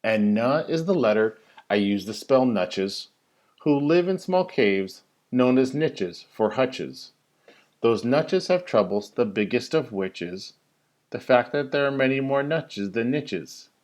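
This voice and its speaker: A man who works as a teacher reads a children's story in rhyming verse, with an expressive, sing-song delivery that stresses key words. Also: medium pitch, takes it slowly. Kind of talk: animated storybook read-aloud of rhyming verse.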